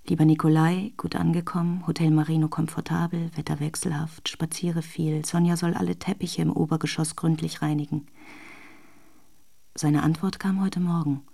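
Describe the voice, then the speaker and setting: Restless young wife, dark creaky voice. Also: female voice, low voice, level tone, moderate pace. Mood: reflective. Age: young adult. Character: restless young Russian wife recalling her marriage. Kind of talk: first-person radio drama monologue.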